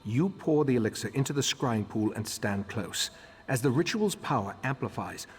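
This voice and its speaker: An actor playing a solemn, scholarly master of a magical order, speaking formally and deliberately in a fantasy game. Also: male voice, medium pitch, breathy edge. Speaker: quest-giving leader of a magical order. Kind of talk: scripted fantasy game dialogue.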